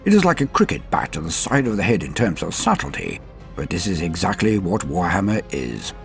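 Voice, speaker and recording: Deep, gravelly, dramatic male narrator. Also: big pitch swings, a little fast, soft background music. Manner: theatrical, formal and florid wording. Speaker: host of a Warhammer lore show. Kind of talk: scripted lore narration.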